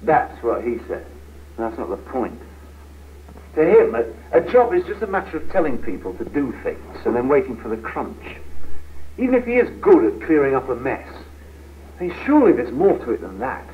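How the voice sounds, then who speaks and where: An actor playing a frustrated, ambitious young British office worker, earnest and argumentative, in a 1970s training film. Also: male voice, mid-range voice, big pitch swings, moderate pace, natural voice. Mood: bitter, frustrated. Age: young adult. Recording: tinny, noisy 1970s film soundtrack.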